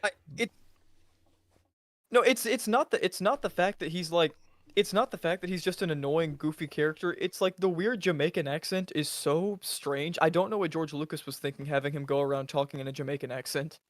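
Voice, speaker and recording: A man's voice: a little high, brisk, no room sound. Podcast co-host, male, dry close mic, worked-up and argumentative, stumbling over himself. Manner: argumentative, exasperated, many restarts. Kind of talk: casual chat.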